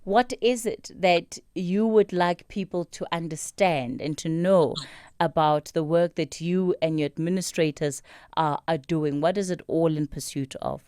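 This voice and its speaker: A woman hosting a radio talk show, brisk and upbeat, often laughing. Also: lowish voice, a little mellow, smooth, no room sound, studio quality. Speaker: radio host.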